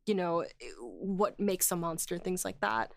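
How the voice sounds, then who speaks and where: A woman with a slightly low voice talks in a dry, close recording. Her delivery is informal and conversational, as in a casual podcast discussion among colleagues. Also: animated, a little slow, dry recording. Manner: informal, frequent "like" and "you know".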